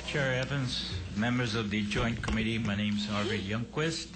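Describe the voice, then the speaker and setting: Man from Hawaii testifying at a hearing, low voice, loud background noise, measured delivery. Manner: measured, with hesitant fillers. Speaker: public testifier. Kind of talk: formal public testimony. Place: legislative hearing room.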